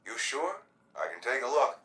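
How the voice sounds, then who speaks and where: An actor playing a friendly, helpful caretaker of a city in a game. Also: male voice, mid-range voice, normal speed, roomy sound, far from the mic. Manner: relaxed, friendly.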